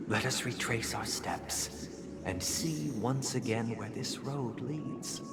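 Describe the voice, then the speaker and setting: Slow, solemn, courteous idealist. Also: male voice, mid-range voice, very noisy. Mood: persuasive, grave. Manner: measured, formal, courteous, oratorical. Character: composed, solemn male idealist leader with grand convictions. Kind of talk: dramatic video game voice acting. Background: background music.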